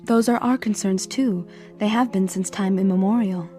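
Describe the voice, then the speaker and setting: A gentle alien woman as an actress plays her in a radio play. Her voice is mellow and mid-pitched, polite but uneasy and earnest, over faint music. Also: steady tone, moderate pace, lightly acted. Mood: reassuring. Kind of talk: radio drama acting.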